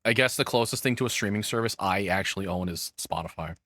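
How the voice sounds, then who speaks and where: A man reacts like a streamer, chatting casually and bluntly with plenty of swearing. His voice is bright and forward, recorded up close and very dry, with no room sound around it. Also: low voice, fast talker, no echo.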